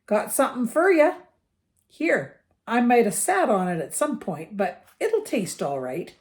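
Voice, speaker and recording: A woman's voice: fairly high pitch, sing-song, measured pace, a little mellow. A woman voicing a hearty, friendly giant man in a children's storybook, brash and folksy. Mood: cheerful. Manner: boisterous, folksy, informal.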